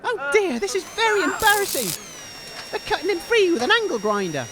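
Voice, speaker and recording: A man playing a chatty, excitable radio co-host giving live commentary in a comedy audio drama. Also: very high voice, fairly quick. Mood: excited. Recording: outdoor event with crowd behind.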